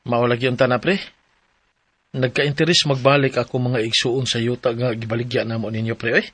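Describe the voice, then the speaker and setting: A man's voice: mid-range voice, flat delivery, fairly quick, fairly bright tone, lightly acted. A man with a Cebuano Filipino accent acting a hesitant friend selling land in a radio drama. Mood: apologetic. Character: husband's longtime friend, hesitant land seller.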